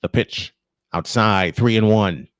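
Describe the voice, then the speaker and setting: A man's voice: fairly low pitch, slow, no room sound. Male baseball play-by-play announcer, dry close sound. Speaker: play-by-play commentator on a live stream. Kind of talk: live baseball play-by-play commentary.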